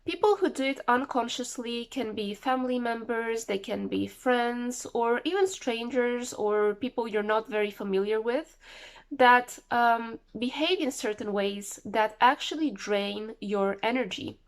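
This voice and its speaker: A woman's voice: fairly high pitch, unhurried. A woman with the flu talking casually to camera, rambling and repeating words for emphasis. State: sick with flu, voice a bit off. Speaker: vlogger.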